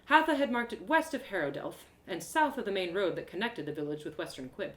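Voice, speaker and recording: A woman voicing a plucky young girl adventurer who narrates her own journey in an expressive, colloquial storybook style. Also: mid-range voice, echoey room, close to an everyday voice. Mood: determined. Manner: expressive, colloquial, with exclamations and dramatic pauses. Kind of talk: animated first-person storybook narration, live one-woman audio drama.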